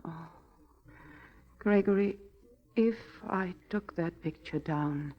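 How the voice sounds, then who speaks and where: An actress playing an anxious, fragile, pleading wife in the emotional style of 1940s radio drama. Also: female voice, fairly low pitch, slow. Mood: despairing. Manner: breathless, pleading, emotionally volatile. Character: anxious, fragile, pleading wife doubting her own mind. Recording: dull vintage 1940s radio recording.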